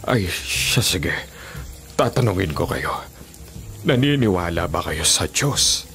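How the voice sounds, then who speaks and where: A man's voice: deep voice, sing-song, slow pace, gravelly, fairly bright tone, soft background music, full character voice. An actor playing an older village man in a Filipino radio drama, earnestly telling tales with hesitant, emphatic phrasing. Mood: earnest, insistent. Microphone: studio condenser mic with pop filter.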